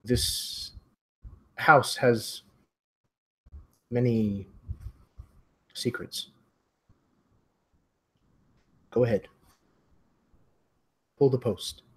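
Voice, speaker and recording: A man playing a timid, shaken gentleman host of a mysterious mansion in a role-playing game, halting and repeating his words. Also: medium pitch, steady tone, slightly rough. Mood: ominous, quiet.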